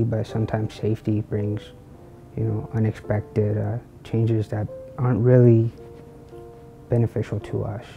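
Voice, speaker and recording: A man speaks earnestly in a very flat, monotone voice with a gravelly creak. The recording is dull, with faint guitar music behind him. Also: fairly low pitch. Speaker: psychiatric hospital patient. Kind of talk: documentary interview.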